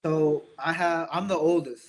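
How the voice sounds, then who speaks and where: Higher-pitched young American man, chatting casually. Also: fairly even intonation, a little slow, poor audio. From born in Mexico, raised in Indiana, United States. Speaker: boxer, interview guest. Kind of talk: casual interview chat.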